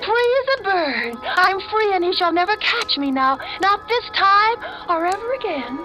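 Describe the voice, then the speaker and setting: An actress playing an unhinged, exultant woman gloating over a death, theatrical and drawn-out, in 1940s radio horror style on an old recording with music. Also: extremely high pitch, very animated. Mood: deranged triumph.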